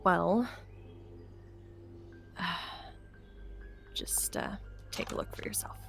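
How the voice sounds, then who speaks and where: A woman playing a hesitant, hopeful young apocalypse survivor in an improvised game roleplay, speaking haltingly and trailing off. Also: lowish voice, wildly swooping pitch, creaky, over music, heavily acted. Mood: nervous.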